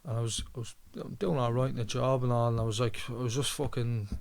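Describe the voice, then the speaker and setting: A man's voice: mid-range voice, steady tone, quick pace, creaky, a little mellow. A man with a Dublin Irish accent casually telling a personal story, swearing freely and repeating words as he goes. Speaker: podcast speaker sharing his own story. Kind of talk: casual chat, anecdotes.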